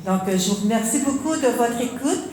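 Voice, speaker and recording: A woman with a Quebec French accent introducing herself and the next speaker, halting and full of fillers. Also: a little high, expressive, a little mellow. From Quebec, Canada. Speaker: conference moderator and expert. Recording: echoey hall with faint noise.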